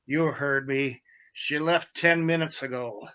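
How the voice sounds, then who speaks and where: A man playing a gruff, terse crook in a 1940s-style radio drama, curt and deliberate. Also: mid-range voice, sharp tone, lo-fi sound. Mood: curt, menacing. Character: gruff, terse male crook giving orders.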